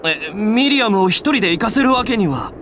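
Casting: caring young game alchemist, lightly high male voice, expressive pitch, friendly explainer. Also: brisk, a little hiss or hum. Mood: worried. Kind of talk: Japanese video game voice acting.

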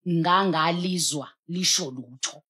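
A woman's voice: on the low side, a little slow, pushed and bright. A South African woman speaking as a pastor on a studio talk show, emphatic and exhorting, mixing in English. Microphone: headset.

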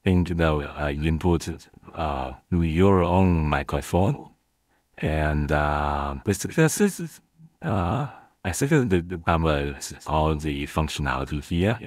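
A mature-sounding man, his voice processed by an AI voice changer, giving a casual software tutorial with hesitant, halting delivery. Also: rumbling low, no room sound, studio quality. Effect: AI real-time voice changer. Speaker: YouTuber.

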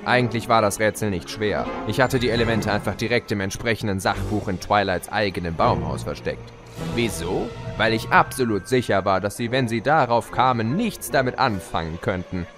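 A man's voice: fairly low pitch, normal speed, lightly acted. A man playing a gloating, mischievous chaos spirit who tells of his schemes in a theatrical, teasing way in an audio drama. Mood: smug, amused. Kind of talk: German fan audio drama, storytelling. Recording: music behind the voice.

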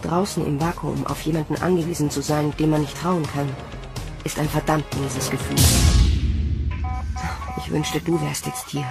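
Defiant young space recruit, music underneath. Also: female voice, low-pitched, steady tone, moderate pace, gravelly. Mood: wary, reflective. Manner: coarse, blunt, emotional. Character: young female space recruit, defiant, hot-tempered, easily panicked. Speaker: voice actor, lead and narrator. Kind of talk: German audio drama acting.

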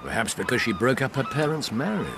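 An actor playing a shrewd, sceptical detective in a British radio drama, with an English accent and an incisive, musing manner. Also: male voice, mid-range voice, very animated, fast, background noise. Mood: incisive, probing. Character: brisk, shrewd, sceptical male detective, coolly analytical.

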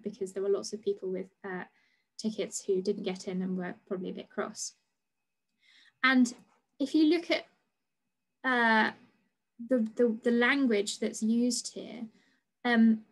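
A woman's voice: medium pitch, low-quality recording. A young woman presenting research over a video call, speaking hesitantly with many fillers and restarts. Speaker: PhD researcher giving a talk. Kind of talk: online lecture presentation. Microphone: video call webcam.

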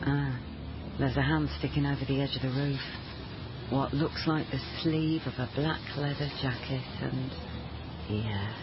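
An actress playing a probing, dry, sceptical police family liaison officer in a naturalistic radio crime drama. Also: female voice, a bass voice, lo-fi sound, very noisy. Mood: dry, observant.

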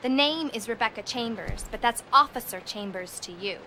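An actress playing a young police officer in a video game, earnest, defiant and emphatic. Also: female voice, lively intonation, moderate pace, faint music behind, poor audio. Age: young adult. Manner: firm, indignant, emphatic. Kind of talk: video game cutscene acting. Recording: loud train rumble and ambience behind.

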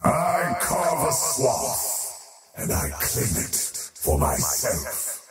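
A man voicing a faceless void creature in a fantasy video game, a cold, arrogant oathbreaker with a grandiose, menacing, deliberate delivery. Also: mid-range voice, huge pitch swings, reverberant, far from the mic, heavily acted. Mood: grandiose, ominous. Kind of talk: scripted fantasy video game character lines.

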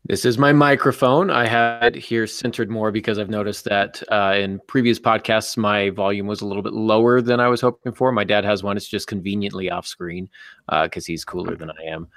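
A man's voice: on the low side, fairly even intonation, a little fast, a bit bright, no echo. A middle-aged man hosting a casual live podcast in a dry, joking manner, close to a desk microphone. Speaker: podcast host and football coach. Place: indoor office, on a video call. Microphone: close desktop microphone.

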